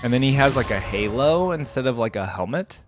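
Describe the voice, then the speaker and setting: A man's voice: medium pitch, expressive, fairly quick, over music. A man giving casual, off-the-cuff reaction commentary as a video host, thinking aloud in an informal way.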